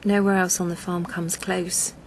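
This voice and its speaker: A woman's voice: lowish voice, flat delivery, normal speed, slight background noise, lightly acted. An actress playing an earnest, stubborn, spiritual woman with an English accent in a naturalistic radio drama. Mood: wistful, earnest. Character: earnest, wounded, stubborn spiritual woman fighting for her land.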